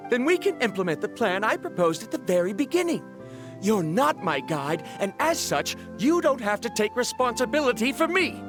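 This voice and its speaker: A man's voice: very high voice, big pitch swings, moderate pace, over music. A man playing a stubborn, logical scholar in a video game, speaking formally and precisely. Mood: firm, exasperated.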